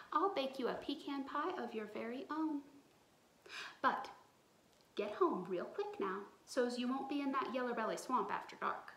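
A kindly country aunt from a storybook, read by a woman, coaxes in a fairly high voice. The room around it echoes. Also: close to an everyday voice. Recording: roomy indoor echo.

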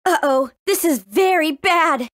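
A woman's voice: very high voice, measured pace, fairly bright tone, no echo, studio quality. A woman playing a spirited, earnest young girl adventurer in a video game, speaking expressively. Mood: alarmed.

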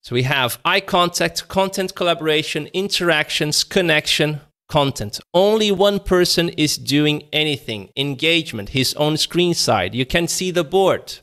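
A man in his thirties hosting a live webinar over a video call, talking casually and raising and lowering his energy to make his points. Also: normal speed, hardly any pauses, a bit bright. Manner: shifts voice energy up and down to demonstrate, conversational. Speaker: webinar presenter. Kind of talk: live online webinar talk. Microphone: video call webcam.

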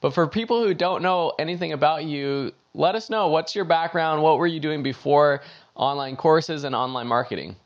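A man's voice: fairly high pitch, moderate pace, muffled recording. A young man hosting a podcast interview, eager and enthusiastic, talking into a studio mic in a home office. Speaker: podcast host. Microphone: headset and large studio mic on arm.

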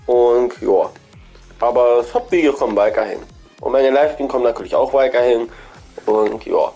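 A man's voice: mid-range voice, moderate pace, mellow, faint music behind. Chatty man with a cold. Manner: chatty, repetitive, thinks aloud. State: has a cold. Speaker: gaming channel host. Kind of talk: casual game shop commentary.

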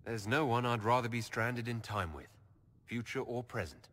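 A man voicing a calm, dry male elf leader. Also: mid-range voice, normal speed, big-room sound, close to an everyday voice. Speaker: player character leader. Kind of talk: video game dialogue.

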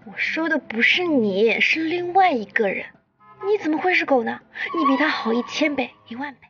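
A woman in her twenties playing a spirited, cajoling young employee in a TV drama, expressive and chatty, quick to grumble. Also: high-pitched, dull audio, faint music behind. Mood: flattering, flustered. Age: 20s. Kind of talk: naturalistic TV drama acting.

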